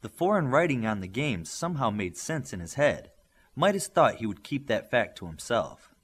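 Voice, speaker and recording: A man's voice: mid-range voice, very animated, moderate pace, breathy edge. A man reading an audiobook, narrating a scripted story. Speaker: audiobook reader.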